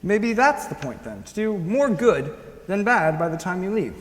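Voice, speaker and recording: A man's voice: sits high, very animated, normal speed. A man playing an awkward, earnest, kindhearted teenage boy in a stage musical, speaking hesitantly and rambling with stammers. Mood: flustered, searching. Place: school auditorium staged reading. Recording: echoey auditorium, piano music behind.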